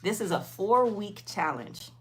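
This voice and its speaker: A woman's voice: mid-range voice, sing-song, measured pace, breathy edge, rough recording. A woman, chatting informally on a livestream and replying to viewers, often repeating herself. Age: 50s. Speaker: host of a weight loss livestream.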